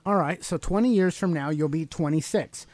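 A man's voice: on the high side, normal speed, a little mellow, dry recording. A man hosting a podcast, teaching motivational ideas in a conversational, explanatory way and putting questions to the listener. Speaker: podcast host.

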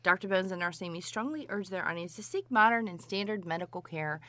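A woman's voice: fairly low pitch, brisk, bright, forceful tone. A woman co-hosting a medical podcast, with a scratchy voice and a teasing, giggly manner. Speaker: podcast co-host, nurse midwife. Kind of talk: casual podcast banter.

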